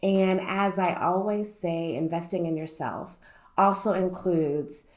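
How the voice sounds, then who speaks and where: A woman's voice: fairly low pitch, steady tone, takes it slowly, darkish tone. A woman talking casually to her viewers about investing, her voice a little nasal from a lingering cold, with frequent "right?" and "um" asides. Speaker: finance YouTuber. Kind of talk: casual explainer talk to camera.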